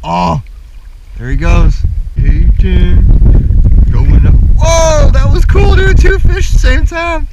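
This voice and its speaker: A man's voice: extremely high pitch, slow, vocal fry. A young man vlogging casually outdoors from a kayak, crude and joking and laughing often, recorded on an action camera. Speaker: motovlogger. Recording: distant camera mic, loud wind and water.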